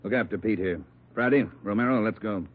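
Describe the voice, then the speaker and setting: An actor playing a curt, commanding, demanding chief of detectives in a 1950s radio police drama. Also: male voice, fairly low pitch, fairly quick, close to an everyday voice. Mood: businesslike. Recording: tinny, slightly noisy vintage radio.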